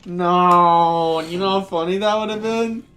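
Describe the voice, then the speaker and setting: Man, casual gaming banter, teasing and directing, slightly high voice, faint hiss behind. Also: unhurried, pushed and bright. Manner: teasing, laughing, giving directions. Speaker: gamer co-commentating.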